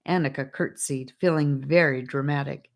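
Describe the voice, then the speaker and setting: A woman reading a children's fantasy audiobook, telling the story gently and expressively. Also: low-pitched, fairly even intonation, normal speed. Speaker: audiobook narrator.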